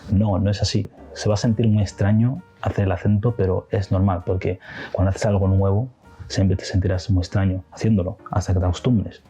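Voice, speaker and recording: Young male teacher, deep mellow voice, rambling casual delivery; slightly muffled audio, faint background music. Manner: loose, rambling delivery with frequent restarts and fillers. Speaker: English teacher. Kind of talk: casual spoken advice to camera.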